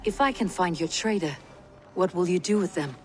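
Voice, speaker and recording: An actress playing a loyal, probing Viking warrior in a video game, speaking in a terse, level manner. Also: female voice, on the low side, moderate pace, darkish tone, close to an everyday voice. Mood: steady, loyal. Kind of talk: dramatic video game cutscene acting.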